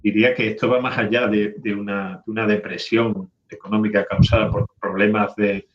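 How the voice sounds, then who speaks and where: A man's voice: lowish voice, flat delivery, moderate pace, noisy background. A man, an economist guest, explaining hesitantly in an interview with frequent tag questions. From Málaga, Spain. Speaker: guest economist, university professor. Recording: slightly dull remote call sound.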